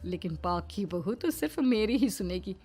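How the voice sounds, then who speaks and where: A woman's voice: medium pitch, expressive, normal speed, darkish tone, breathy edge. A woman voicing a bossy, possessive older mother-in-law in a cartoon, emphatic, coaxing and imperious. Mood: possessive, scolding.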